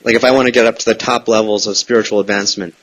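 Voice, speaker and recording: Low-voiced man, hesitant, persistent phone caller. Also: steady tone, rapid-fire, fairly bright tone, rough recording. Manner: hesitant, meandering, persistently questioning. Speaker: prank caller. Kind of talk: casual phone questioning. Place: phone call.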